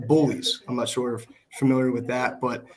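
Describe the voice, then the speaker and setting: A young American man speaks as a guest in a casual conversation. His voice is hoarse, worn from shouting, and sits at a middle pitch. He talks informally. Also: little pitch movement, fairly quick. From Pennsylvania, United States. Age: 20s. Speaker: guest, small business worker. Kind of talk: casual chat. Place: video call.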